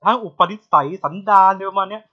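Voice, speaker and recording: A man's voice: high voice, fast. Slightly muffled male podcast co-host. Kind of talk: casual chat.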